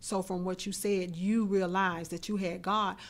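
Earnest lower-voiced woman radio host. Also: flat delivery, a little slow. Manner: earnest, reflective. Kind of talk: casual interview chat. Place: small radio studio, indoors. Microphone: desk mic on stand.

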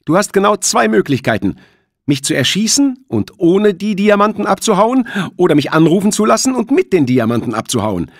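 A defiant teenage boy in a thriller audiobook, voiced by a man. The voice is raised well up and swings widely in pitch, urgent and commanding, with a nervy edge. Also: very animated, brisk, no room sound, clean studio sound, heavily acted. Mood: firm bargaining.

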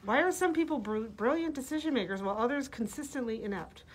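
A woman's voice: a little high, expressive, fast. A middle-aged woman, a librarian reading book blurbs with casual asides, slightly muffled by a face mask. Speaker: librarian presenting books. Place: library room with bookshelves.

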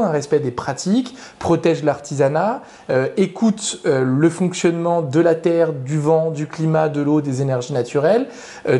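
A man's voice: fairly high pitch, dark tone. A young adult man, an author invited as a guest, giving long structured explanations that list his points in order. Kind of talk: explanatory interview answers.

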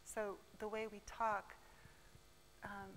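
A woman's voice: medium pitch, flat delivery, takes it slowly, fairly bright tone, slight background noise, poor audio. A woman researcher standing in a lecture room, answering audience questions at a seminar in an earnest, explanatory way. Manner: earnest, explanatory, with light laughs. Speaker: presenting researcher.

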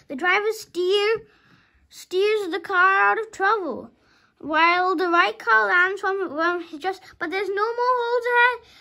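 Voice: extremely high pitch, pushed and bright. A young child of about five reading a storybook aloud dramatically, sometimes stumbling over words and rereading them.

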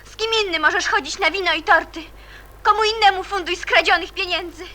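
A proud, principled young girl in a radio play. The voice is high and bright, with curt, reserved phrasing and a stubborn temper. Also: a little fast. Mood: hurt, reproachful. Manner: curt, reproachful or politely reserved. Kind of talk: Polish radio drama acting.

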